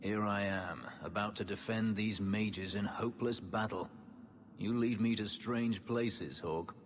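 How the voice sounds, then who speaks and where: A man's voice: fairly low pitch, steady tone, unhurried, long reverb tail, noisy background, lightly acted. An actor playing a brooding, devoted male warrior in a video game, with a solemn, intense delivery. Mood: grim, dry. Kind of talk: video game cutscene acting.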